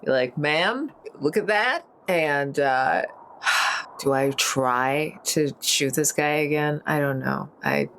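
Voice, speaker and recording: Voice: medium pitch, slow pace, fairly bright tone, slight background noise. A tabletop game player chatting informally, thinking aloud and joking through each move. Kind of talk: casual chat during a tabletop game.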